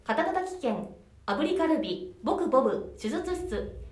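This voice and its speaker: High, animated woman in an echoey room. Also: measured pace. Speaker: aspiring voice actor and show regular. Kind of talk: tongue-twister recitation and casual banter. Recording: echoey room, distant microphone.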